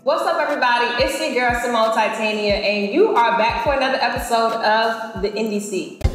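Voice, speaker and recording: A woman hosting a casual interview, upbeat and enthusiastic, chatty and warm toward her guest. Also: a little high, fairly quick, over music. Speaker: interview host. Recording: echoey room with long reverb.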